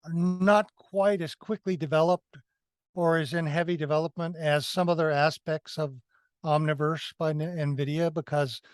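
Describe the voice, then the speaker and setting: Higher-voiced man in casual, explanatory discussion. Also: steady tone, normal speed, clear, resonant tone, no room sound. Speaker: podcast co-host reviewing films.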